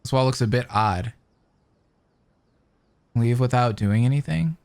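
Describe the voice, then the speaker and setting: A woman's voice: extremely low pitch, unhurried, a little mellow. A woman giving casual, conversational reaction commentary as a gamer playing through a game.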